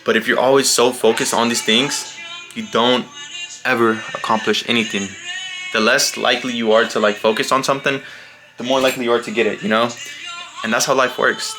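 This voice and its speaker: A young man casually talking through his trading progress in a vlog, laid-back and repetitive, often saying "bro" and "you know". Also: lowish voice. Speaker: day trading vlogger.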